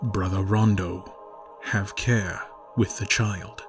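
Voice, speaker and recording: A male narrator gives voice to a high priest whose low, smooth tone sounds kindly on the surface but carries something sinister underneath. He talks softly and coaxingly, in a measured storybook manner, with music playing clearly behind him. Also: natural voice.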